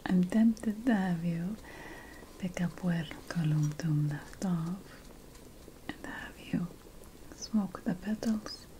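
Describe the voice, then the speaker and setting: Slow whispering ancient sorceress played by a woman. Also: fairly low pitch, warm tone, slight background noise. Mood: conspiratorial, fascinated. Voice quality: breathy whisper. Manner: slow, deliberate, with dramatic pauses. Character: ancient sorceress apothecary, musing, slyly ominous, instructing her apprentice. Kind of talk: whispered ASMR fantasy roleplay.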